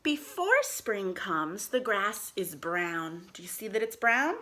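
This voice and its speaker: Bright-voiced woman hosting children's storytime. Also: mid-range voice, slow. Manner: expressive, sing-song, encouraging, talking directly to children. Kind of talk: read-aloud storytime with questions to young children.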